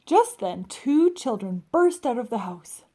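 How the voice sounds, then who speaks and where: Animated, high-pitched woman reading to children. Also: unhurried. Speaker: teacher reading aloud. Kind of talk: expressive children's read-aloud with explanations.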